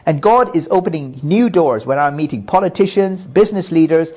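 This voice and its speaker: A middle-aged man calmly telling his personal faith testimony in an earnest, measured way. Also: on the high side, muffled recording. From Hong Kong and Japan. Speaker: businessman giving testimony.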